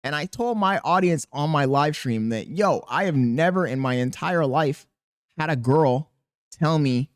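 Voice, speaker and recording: A man with a squeaky voice talking casually and profanely to camera as a content creator, rambling and animated. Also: a little slow, dry recording, studio quality. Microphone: podcast dynamic mic.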